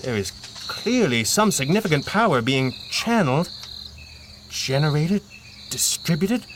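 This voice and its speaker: An actor playing a suave, unflappable masked crime-fighter in a pulp radio adventure, speaking in a dry, formal, articulate and gently teasing way. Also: male voice, fairly high pitch, big pitch swings, a little slow, background noise. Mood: intrigued, analytical.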